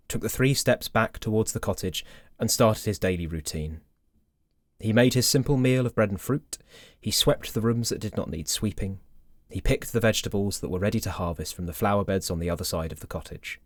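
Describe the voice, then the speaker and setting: A man narrating a fairy tale from a script in a measured, gentle storytelling manner. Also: lowish voice, no room sound, studio quality.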